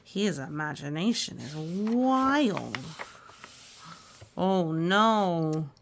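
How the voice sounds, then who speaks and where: Storytime reader, female: chatty and reassuring, very lively intonation, some vocal fry. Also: on the low side, very slow, noisy background. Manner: reassuring, musing, chatty commentary. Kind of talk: read-aloud with casual asides to children.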